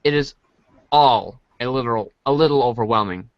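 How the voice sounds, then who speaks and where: A man's voice: medium pitch, normal speed, no room sound. Guy doing a podcast read-through of a comic, close dry sound, kinda lo-fi. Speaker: podcast reader. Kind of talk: dramatic reading of a webcomic aloud.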